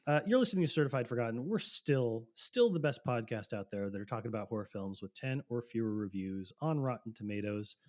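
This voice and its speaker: A man co-hosting a podcast in casual chat, playful and swearing freely, his voice lowered by a lingering cold. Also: lively intonation, a little fast, hardly any pauses. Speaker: podcast co-host.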